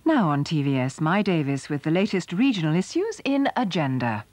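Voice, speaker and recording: A woman's voice: deep voice, big pitch swings, moderate pace, darkish tone, no echo. A woman reading a scripted weather forecast as a television continuity announcer.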